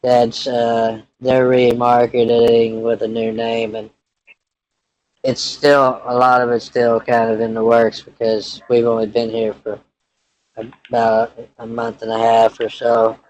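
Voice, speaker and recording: A man acts out a young Texan ranch hand with a very monotone delivery. He is laid-back and folksy, rambling through his phone conversation in halting phrases at a middle pitch. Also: no room sound, muffled recording, lightly acted. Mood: easygoing, rambling. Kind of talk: improvised prank phone call in character.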